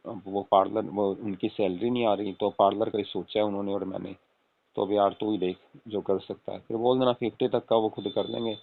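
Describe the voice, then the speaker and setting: A man's voice: fairly low pitch, vocal fry. A man playing an affectionate young husband on a casual late-night call, coaxing and often asking for agreement. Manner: coaxing, repeatedly seeking agreement with tag questions. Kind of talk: acted casual phone conversation with spouse. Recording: tinny phone-call audio with room echo.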